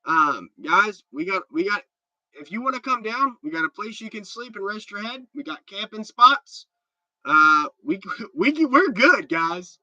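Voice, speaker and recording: A congested man rambles through a casual livestream and reads out his viewers' comments. His voice is very bright and edgy and sits slightly high. The audio is dry and dull, like a lo-fi stream. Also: very animated, a little slow, no room sound. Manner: rambling and chatty, with repeated restarts. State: stuffed up. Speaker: livestream host.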